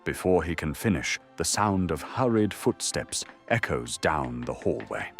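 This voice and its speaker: A man's voice: deep voice, very animated, measured pace, vocal fry, soft background music. A man narrating an audio drama in a dramatic, scripted storytelling style.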